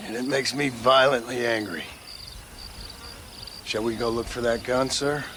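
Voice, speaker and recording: An actor playing a stern American cowboy gunslinger in a game, with a Western accent and a dry, threatening, sarcastic manner. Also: male voice, mid-range voice, fairly even intonation, measured pace, background noise, lightly acted. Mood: dry menace. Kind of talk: game voice acting.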